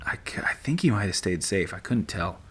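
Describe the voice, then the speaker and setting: Low, easygoing male sports commentator. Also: lively intonation, fairly quick, fairly bright tone, breathy edge. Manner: easygoing, dry humor, thinking aloud. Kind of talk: relaxed play-by-play commentary. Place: voice-over, not at the course.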